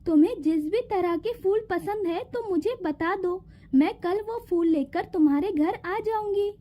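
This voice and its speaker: A woman's voice: extremely high pitch, unhurried, highs cut off. A woman voicing a kind, dutiful young fairy in a cartoon, polite and reassuring. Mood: obliging.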